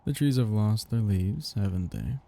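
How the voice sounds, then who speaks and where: Casting: male actor as ancient vampire master for ASMR roleplay, very dark and breathy, gravelly edge, possessive, teasing. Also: on the low side, expressive, normal speed. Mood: languid, musing. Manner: languid, teasing, condescending endearments, soft chuckles. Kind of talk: intimate ASMR roleplay monologue.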